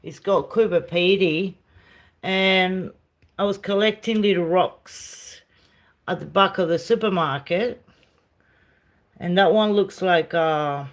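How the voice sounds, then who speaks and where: Middle-aged woman, South American origin, relaxed home streamer; unhurried and chatty, phone mic indoors. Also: fairly low pitch. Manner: chatty, talks sweetly to her dog. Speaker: live streamer. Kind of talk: casual home live stream tour. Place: rented house, indoors.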